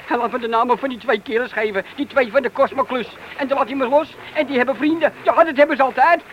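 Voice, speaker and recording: Very high-voiced, twitchy petty crook. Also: fast, muffled recording, slight background noise. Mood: frightened, pleading. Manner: pleading, stammering, slangy. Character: frightened, shifty small-time male criminal informer. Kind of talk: radio play acting.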